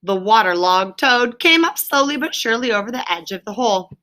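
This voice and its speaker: A woman reading a children's story aloud like a teacher, with emphatic, deliberate phrasing and explanatory asides. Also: a little high, expressive, pushed and bright.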